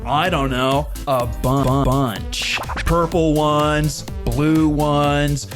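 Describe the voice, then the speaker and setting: A man's voice: on the high side, fairly even intonation, extremely slow. A man playing a laid-back, dim slacker shop owner in a parody radio commercial, stuttering and rambling in slang. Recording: music bed under the voice.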